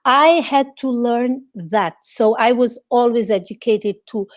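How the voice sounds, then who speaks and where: Woman from portugal, etiquette consultant, fairly high voice, animated chat, video call. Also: a little slow.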